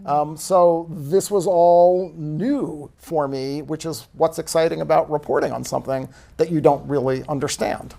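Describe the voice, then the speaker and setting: A man, an author, chatting at a bookstore event, self-deprecating and relaxed, with plenty of fillers. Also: on the high side, a little slow, gravelly, hardly any pauses. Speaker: author being interviewed. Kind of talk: casual conversation at a book event.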